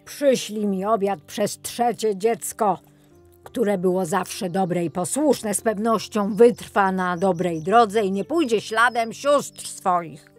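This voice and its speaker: A firm, reassuring woodcutter father from a storybook, acted out by a woman. The words come slowly and with emphasis, with faint music behind. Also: mid-range voice.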